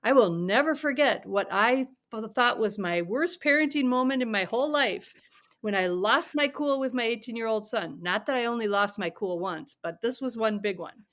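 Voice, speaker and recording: A middle-aged woman, a parent coach, speaking as a podcast guest in an earnest, advising way, sometimes dropping to a soft whisper to demonstrate. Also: on the high side, animated, moderate pace.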